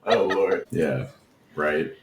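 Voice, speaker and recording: A man with a deep voice and an Appalachian accent, an easygoing guest voice actor who laughs often in casual podcast chat. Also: drawn-out words, noisy background. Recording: roomy echo.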